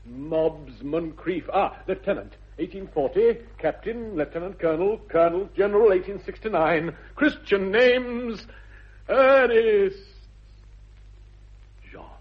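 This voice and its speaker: An actor playing an earnest, excitable upper-class English gentleman in a radio comedy, theatrical and formal in his phrasing. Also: sits high, measured pace, husky. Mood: feverish excitement. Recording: dull old radio recording.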